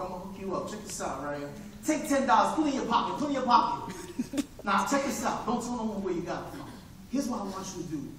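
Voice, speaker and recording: A man on a live stage playing a swaggering, streetwise 1940s Harlem hustler who talks in slang and bursts out shouting. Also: high voice, slight background noise, voice pushed down. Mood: conspiratorial. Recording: echoey theater, heard from far off.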